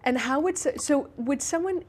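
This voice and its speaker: A woman doctor hosting a health interview show, asking follow-up questions and summing up her guest's answers. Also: high-pitched. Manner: inquisitive, recaps answers back, brisk follow-up questions. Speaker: talk show host, doctor.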